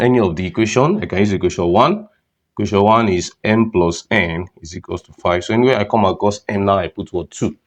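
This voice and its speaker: A man with a cold teaching a maths lesson, explaining step by step and putting questions to the students. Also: low voice. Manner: repeats words and restarts phrases. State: has a cold.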